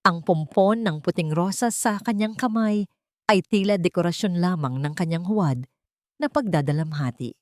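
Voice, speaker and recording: A woman narrates a dramatic love story in a low-pitched voice. The recording is dry and close, with scripted, storyteller delivery throughout. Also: moderate pace, a little mellow, no room sound.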